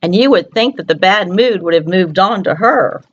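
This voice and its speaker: A woman's voice: mid-range voice, animated, moderate pace, lo-fi sound, slight background noise. A woman storyteller reads a picture book aloud to young children, expressively, often putting questions to her listeners.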